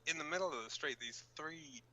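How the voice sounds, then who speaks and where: An actor playing a brash, hot-headed resistance fighter co-hosting an underground broadcast in a radio drama, talking bluntly and informally with impatience. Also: male voice, a little high, fairly quick, a crowd in the background, close to an everyday voice, low-quality recording. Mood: exasperated, angry.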